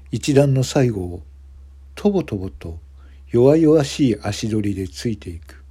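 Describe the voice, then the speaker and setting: A man's voice: mid-range voice, dark tone, breathy edge. A man reading scripted story narration as the narrator of a radio drama.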